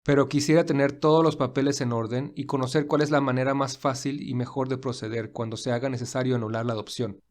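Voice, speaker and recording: Devoted young gentleman for audio drama, medium register, earnest, pensive, quietly resolute male performer. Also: mid-range voice, fairly even intonation, quick pace, hardly any pauses, lightly acted. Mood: businesslike, earnest. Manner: earnest, reflective. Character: thoughtful, devoted wealthy young man, gently determined.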